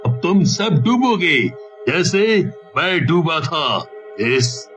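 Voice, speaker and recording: A man voicing a vengeful, gloating ghost ship captain in a cartoon, with a drawn-out, menacing delivery. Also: fairly high pitch, big pitch swings, fairly bright tone, faint music behind, full character voice. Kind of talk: cartoon villain acting.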